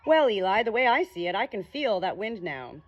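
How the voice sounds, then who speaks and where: A woman's voice: mid-range voice, sing-song, a little fast, dull audio, voice pushed up. A woman voicing a guarded, defiant young female ghost in an audiobook, speaking with control and thinly veiled scorn. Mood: sweetly derisive.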